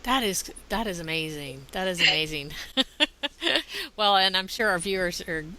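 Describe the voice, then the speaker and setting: The forceful, lowish-voiced woman you'd hear hosting a chatty guest podcast, full of exclamations and chuckles. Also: normal speed. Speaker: podcast host and interviewer. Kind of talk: conversational interview.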